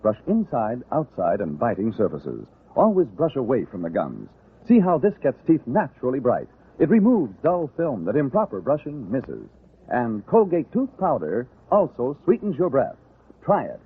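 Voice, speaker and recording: A man's voice: mid-range voice, wildly swooping pitch, normal speed, slightly rough. A man with an American accent reading a scripted commercial in 1940s radio style. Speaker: commercial announcer. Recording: very tinny vintage radio recording.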